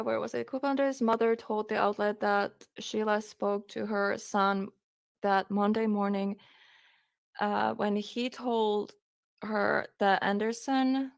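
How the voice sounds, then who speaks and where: A young woman with a Finnish accent, reading a true crime case aloud on a live stream, hesitant with many pauses and fillers. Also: mid-range voice, vocal fry, no room sound, clean studio sound. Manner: hesitant, many ums, reads quotes aloud.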